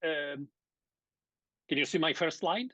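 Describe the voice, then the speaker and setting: A middle-aged man from Sardinia with an Italian accent, giving an academic seminar over a video call in a hesitant, measured way. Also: on the high side, bright, forceful tone, dry recording. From Sardinia, Italy. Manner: measured, hesitant, many fillers and restarts. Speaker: guest lecturer. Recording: dull, dry video-call audio.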